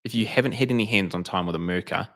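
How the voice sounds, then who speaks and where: A New Zealand man with a fairly low voice talks casually on a livestream. He is chatty and relaxed, and picks up viewer comments as they come in. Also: very fast. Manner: chatty, reads out live comments. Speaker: detailing channel co-host.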